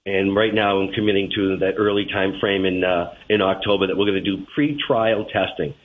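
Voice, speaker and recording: Low-voiced Boston man on a phone line. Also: steady tone, normal speed, slight background noise. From Boston area. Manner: hesitant, many fillers and restarts. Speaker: transit general manager, interview guest. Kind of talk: radio interview over the phone.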